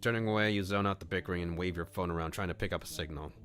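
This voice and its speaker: A man reading a visual novel aloud on a stream, mixing expressive narration with casual commentary. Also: low-pitched, racing. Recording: music under the voice. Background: background music.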